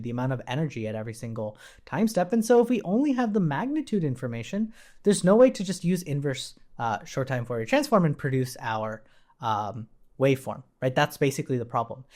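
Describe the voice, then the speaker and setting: Man teaching, with lively swings in pitch. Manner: conversational, often checks with "right?". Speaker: instructor. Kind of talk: informal technical tutorial, explaining as they go.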